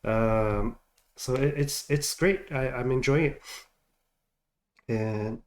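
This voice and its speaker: A middle-aged man with a congested, stuffed-up voice talks casually into a home desk mic. He rambles along, trailing off and restarting with plenty of ums and uhs. His pitch sits in an ordinary middle range for a man. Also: slow pace. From United States. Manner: rambling, hesitant with many fillers. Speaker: reaction video host. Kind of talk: casual chat.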